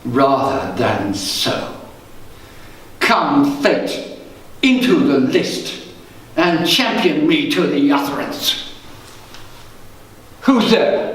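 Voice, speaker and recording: A man performs as a guilty, scheming king in a Shakespearean tragedy on stage. His voice sits high and he declaims slowly, with bitter, brooding weight. The sound is distant, swimming in heavy room echo. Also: slight background noise. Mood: defiant. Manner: slow, declamatory, theatrical. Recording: echoey room, distant microphone.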